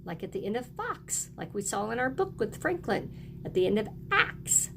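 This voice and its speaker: A woman's voice: mid-range voice, very animated, normal speed, creaky, background noise. A woman teaching young children, encouraging them in short, simple phrases as she reads aloud and explains. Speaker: early elementary teacher.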